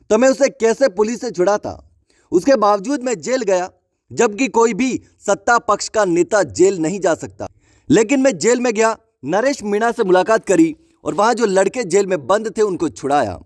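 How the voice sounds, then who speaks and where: A man's voice: sits high, no echo, studio quality. A man narrating online news commentary, speaking emphatically to viewers with repeated phrasing.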